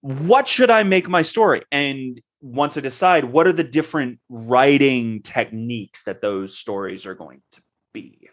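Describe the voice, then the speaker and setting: A man's voice: medium pitch, expressive, a little slow, fairly bright tone. A man hosting a casual podcast on storytelling, asking friendly questions with many hesitations and fillers. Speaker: podcast host.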